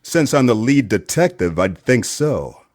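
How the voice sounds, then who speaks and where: A man's voice: medium pitch, very animated, measured pace. A man playing a wry, cynical hard-boiled detective in a noir parody radio play, dry and sardonic.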